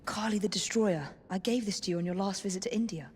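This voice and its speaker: An actress playing a resolute, serious woman assassin investigating murders in a video game, speaking in a measured way. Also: medium pitch, noisy background, close to an everyday voice. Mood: pensive. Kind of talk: dramatic video game acting.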